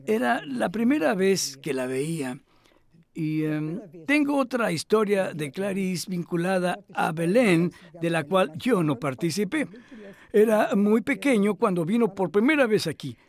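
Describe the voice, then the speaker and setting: An elderly philosopher in a dubbed interview. His voice sits high for a man. He talks reflectively and modestly, with small hesitations, as if in conversation. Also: lively intonation, unhurried, gravelly, full character voice. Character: elderly male philosopher reminiscing, thoughtful and modest. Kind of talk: Spanish voice-over dub of an interview.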